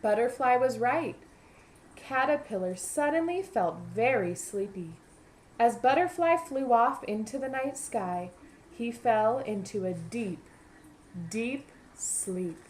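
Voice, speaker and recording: A woman's voice: fairly high pitch, animated, slow pace. Woman teacher reading aloud, noisy recording. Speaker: kindergarten teacher. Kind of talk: practice storybook read-aloud with teaching asides.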